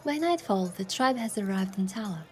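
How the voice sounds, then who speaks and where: A woman voicing a playful, tender young heroine who narrates her own romance in a game with soft, lyrical storytelling. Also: mid-range voice, expressive, fairly quick, slight background noise, close to an everyday voice. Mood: dreamy. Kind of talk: scripted first-person narration and dialogue in romance game. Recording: music behind the voice.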